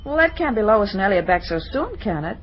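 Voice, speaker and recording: A woman's voice: medium pitch, big pitch swings, a little fast, close to an everyday voice. A woman playing a sweet, flustered young engaged woman, emotional and proper, in an old-time radio drama. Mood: flustered, polite. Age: young adult. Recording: noisy vintage radio drama recording.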